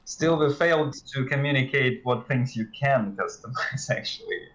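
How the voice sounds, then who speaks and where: A man's voice: mid-range voice, moderate pace, a bit bright, rough recording. A man explaining things casually and a little hesitantly as a developer guest on a game livestream.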